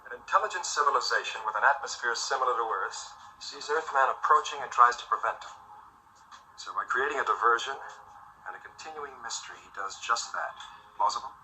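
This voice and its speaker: An actor playing a brisk officer on a moonbase in a 1970s TV drama. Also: male voice, mid-range voice, expressive, pushed and bright, slight background noise, rough recording. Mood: terse. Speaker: space base officer.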